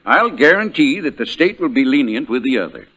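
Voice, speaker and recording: An actor playing an earnest, authoritative judge with a formal, urgent manner in a 1940s radio drama. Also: male voice, mid-range voice, very animated, fairly quick, fairly bright tone. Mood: urgent, persuasive. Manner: urgent, formal pleading and commanding.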